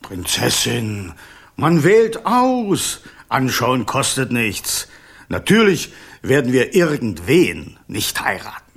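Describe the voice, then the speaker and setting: An actor in a radio play voicing an enchanted golden snake king, courteous, pompous and easily indignant, with a husky, breathy voice. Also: medium pitch, big pitch swings, takes it slowly, exaggerated voice. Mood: sly, reassuring. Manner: theatrical, pompous, wheedling.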